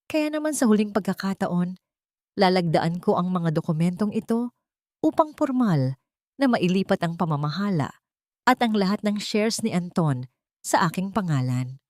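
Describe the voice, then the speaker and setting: A woman's voice: fairly low pitch, big pitch swings, normal speed, a little mellow, no echo, clean studio sound. A Filipino woman voicing a scheming businessman stepfather who gives a solemn, falsely mournful speech in an audio story. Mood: solemn, resolute.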